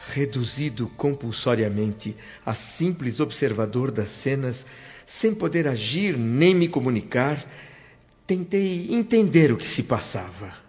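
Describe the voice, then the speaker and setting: A man's voice: mid-range voice, sing-song, a little slow, darkish tone. Radio drama narrator, played by a man: wistful male spirit, mid-range emotive voice, slightly muffled sound. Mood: anxious, disappointed. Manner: dramatic, emotive, literary phrasing. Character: sorrowful male spirit returning home, wistful and earnest. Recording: dull lo-fi sound under music.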